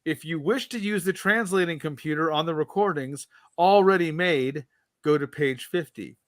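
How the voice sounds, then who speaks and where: A man's voice: on the high side, moderate pace, dry recording, clean studio sound, close to an everyday voice. A man reading the lines of a female starship communications officer aloud from a book. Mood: helpful.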